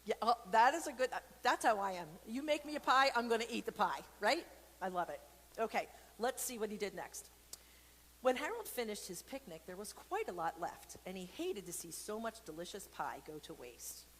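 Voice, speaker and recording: A woman's voice: mid-range voice, very animated, normal speed, fairly bright tone, husky. Chatty, playful woman in an echoing hall. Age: adult. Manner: chatty, playful, rambling asides with comic exaggeration; speaks directly to viewers, asking questions. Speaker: host reading a picture book to children during an online church service. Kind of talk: casual interactive read-aloud with chatty asides. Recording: long reverberant hall echo, background noise.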